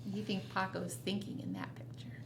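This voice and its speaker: A woman's voice: mid-range voice, flat delivery, brisk, vocal fry. A woman reading a picture book aloud to children as a storytime reader, expressively and with chatty asides. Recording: roomy echo, noticeable background noise.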